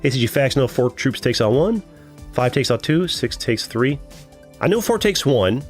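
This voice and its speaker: Man, deep voice, young adult, casual live game commentary, animated intonation, faint background music. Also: normal speed. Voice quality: deeper voice than usual. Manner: chatty, thinks aloud, reads chat and laughs often. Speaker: streamer.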